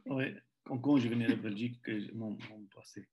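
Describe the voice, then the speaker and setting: A Syrian man, speaking haltingly with many restarts in an interview over a video call from home. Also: medium pitch, darkish tone, a little hiss or hum. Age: 40s. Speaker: missionary partner. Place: home room.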